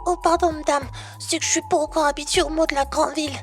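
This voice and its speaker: A woman's voice: high voice, steady tone, quick pace, faint music behind. A woman playing an eager, naive young country apprentice engineer in a French audio roleplay, bubbly and apologetic with folksy phrasing. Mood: apologetic, earnest.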